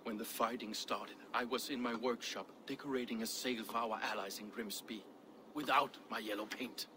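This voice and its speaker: An actor playing a dutiful Viking shipwright in a video game, answering in a terse, firm, defensive manner. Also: male voice, fairly low pitch, steady tone, normal speed, close to an everyday voice. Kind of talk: video game cutscene dialogue. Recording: game audio with clear ambient noise.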